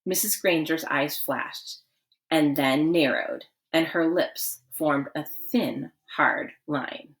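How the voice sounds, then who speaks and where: A woman reading a children's story aloud expressively, with dramatic pauses and emphasis. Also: deep voice.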